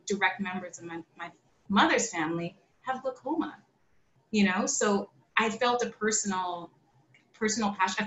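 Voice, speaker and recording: A woman's voice: medium pitch, a bit bright. A woman optometrist talking on a panel over a video call, conversational and often adding "you know". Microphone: video call webcam. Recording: echoey room with faint background hiss.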